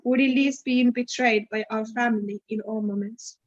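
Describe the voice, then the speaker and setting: Muffled video-call sound; female participant and interpreter from Morocco, Arabic first language, somewhat high voice. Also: fairly even intonation, normal speed. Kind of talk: repeating affirmations, casual conversation. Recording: dull lo-fi video call audio.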